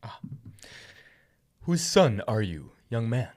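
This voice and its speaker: A man voicing a solemn king and his army commander in a dramatic Bible reading, with stately, drawn-out delivery. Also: mid-range voice, big pitch swings, a little mellow. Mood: grand, solemn. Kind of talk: slow dramatic scripture reading in character.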